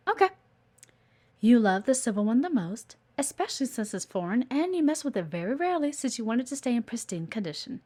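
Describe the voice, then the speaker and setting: A woman's voice: fairly high pitch, mellow. A woman playing a playful, teasing, observant executive assistant in an intimate one-sided audio roleplay. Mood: teasing, faintly menacing. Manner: coy, teasing, chatty, with murmured asides.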